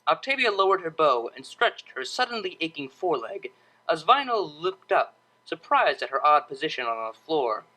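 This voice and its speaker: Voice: mid-range voice, sing-song, normal speed, sharp tone, poor audio. A narrator reading a piece of fiction aloud as scripted, dramatic audiobook storytelling.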